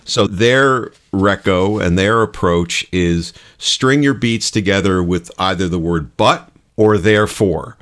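A man's voice: low-pitched, slow, few pauses, a bit bright. A man talking casually and conversationally as co-host of a show about writing.

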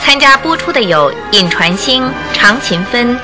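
A woman's voice: on the high side, lively intonation, measured pace. A woman reading scripted narration for a radio drama, as its storyteller. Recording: music behind the voice. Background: background music.

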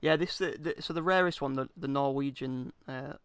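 A man's voice: mid-range voice, normal speed, fairly bright tone, smooth, no echo. British guy on a comedy podcast, casual and sweary, close dry sound. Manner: laughs through speech, irreverent, sweary. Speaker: podcast co-host presenting researched facts.

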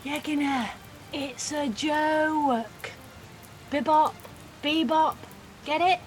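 High-voiced, cheeky young woman. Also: very slow. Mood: teasing. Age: young adult. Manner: blunt, teasing, slangy. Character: cheeky, defiant nineteen-year-old working-class young woman. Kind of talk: naturalistic audio drama acting.

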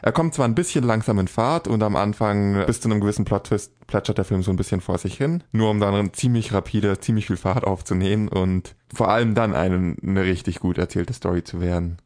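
Low-voiced man rambling through a film review. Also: fairly quick, few pauses, darkish tone. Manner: rambling, self-correcting, emphatic. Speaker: podcast co-host. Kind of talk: casual film review chat.

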